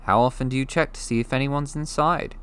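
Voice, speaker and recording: A man's voice: mid-range voice, fairly even intonation, brisk, a bit bright, clean tone, pitched above the performer's own voice, close to an everyday voice. A man voicing a curious eleven-year-old boy wizard in a storybook reading, eager and full of questions.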